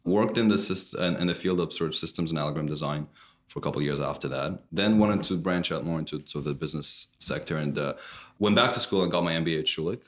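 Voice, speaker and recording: A man's voice: a bass voice, fast. A man in his thirties from Canada, a startup CEO answering interview questions, with many fillers and restarts.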